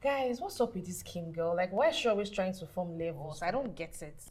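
A woman's voice: fairly low pitch, expressive, a little fast, a little mellow. A woman playing an outspoken young Nigerian party girl among her friends in a screen drama. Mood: annoyed. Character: outspoken young married party-loving girlfriend.

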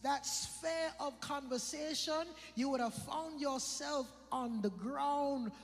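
A man's voice: very high-pitched, takes it slowly, gravelly, long reverb tail. A young man from the British Virgin Islands with an island accent, preaching a conversational sermon that repeats phrases and calls out listeners by name.

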